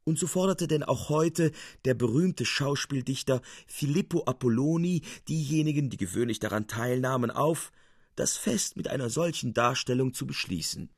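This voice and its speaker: A man's voice: mid-range voice, husky, dry recording. Dry-recorded male literary narrator.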